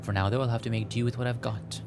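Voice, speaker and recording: A man's voice: medium pitch, steady tone, fast, over music. A man playing a visual novel, reading the text aloud and chatting casually in a playful, rambling way, sometimes stumbling over the words. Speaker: gaming streamer.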